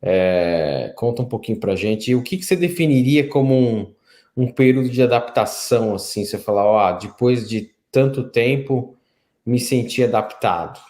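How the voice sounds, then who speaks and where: A man's voice: medium pitch, animated, normal speed, a little mellow. A middle-aged man with a Brazilian Portuguese accent, hosting an interview in a casual, informal way and explaining his points conversationally. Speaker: interview host. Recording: roomy, echoey sound.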